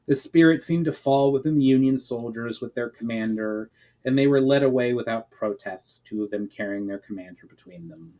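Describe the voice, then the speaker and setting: A man's voice: mid-range voice, normal speed, warm tone, low-quality recording. Man reading fantasy fiction aloud. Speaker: author reading his own fantasy chapter. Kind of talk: dramatic audiobook-style narration.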